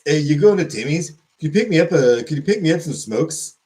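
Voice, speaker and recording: A chummy Canadian guy with a friendly, homey lilt, as a man puts him on. His pitch rides up and down as he asks a favor. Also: expressive, fairly quick, a little mellow, lightly acted. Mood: folksy. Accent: put-on Canadian.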